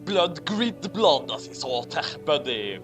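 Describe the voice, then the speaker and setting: Guy kinda high voice, slow, hosting a read-aloud, tripping on words, bit of music behind. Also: big pitch swings. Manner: stumbles over words while reading aloud. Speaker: host of a group fan-fiction reading. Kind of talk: casual commentary and halting read-aloud of garbled text. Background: background music.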